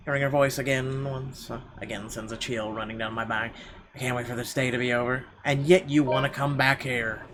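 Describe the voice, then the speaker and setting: A man's voice: mid-range voice, background noise. A man hosting a gaming video, reading visual novel narration dramatically and breaking into irreverent, sweary asides. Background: game music.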